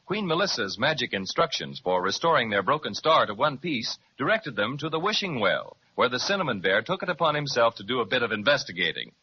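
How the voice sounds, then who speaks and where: A man's voice: mid-range voice, a little hiss or hum. A man narrating a 1930s children's radio serial in a storybook manner. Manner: warm storybook, sing-song emphasis. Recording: dull 1930s radio recording with faint music.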